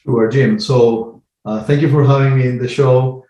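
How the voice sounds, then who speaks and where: A man in his forties from Argentina, speaking as a guest in an interview. He talks in a measured way, filling pauses with "um" and repeating words as he gathers his thoughts. His voice has a roomy echo around it. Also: mid-range voice, steady tone, mellow, muffled recording. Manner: measured, many "um"s and repeated words while thinking. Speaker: guest, IT team lead. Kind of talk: casual interview talk.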